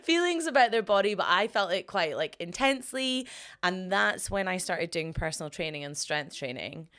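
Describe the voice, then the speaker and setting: A young woman with a Scottish Highlands accent, chatting as a podcast guest, laughing often and filling gaps with ums and likes. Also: expressive, moderate pace, fairly bright tone. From Scotland. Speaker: guest, strength coach. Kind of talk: casual podcast chat.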